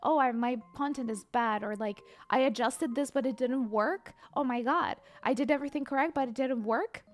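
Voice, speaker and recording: A young woman, a livestreamer chatting casually and reading viewer comments aloud, excitable and hesitant in her English. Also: high-pitched, normal speed. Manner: chatty, excitable, bursts of squeals and laughter; hesitant non-native English with many fillers.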